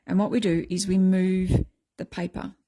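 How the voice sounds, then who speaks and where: A middle-aged woman with a croaky voice, chatting casually as she explains a craft technique step by step. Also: lowish voice, flat delivery, normal speed, mellow. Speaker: craft tutorial host.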